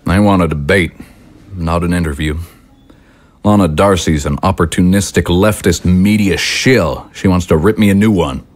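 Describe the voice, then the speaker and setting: An actor playing a fiery, short-tempered political broadcaster and movement leader in an audio drama, speaking emphatically. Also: male voice, deep voice, normal speed. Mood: combative, irritable. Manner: combative, emphatic, oratorical. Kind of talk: dramatic audio screenplay acting.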